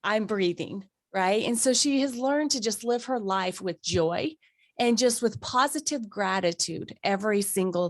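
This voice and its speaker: Woman host, mid pitch, mild cold rasp, upbeat interviewer, dry booth. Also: no echo. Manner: effusive, upbeat and affirming. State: raspy voice from a cold. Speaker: podcast host. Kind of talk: warm conversational interview.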